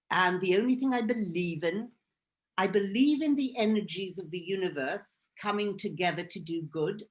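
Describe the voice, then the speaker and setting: Earnest Hebrew-native woman telling her story. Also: on the low side. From Israel. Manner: earnest and emphatic, repeating phrases for force. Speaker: guest speaker. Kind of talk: personal storytelling talk.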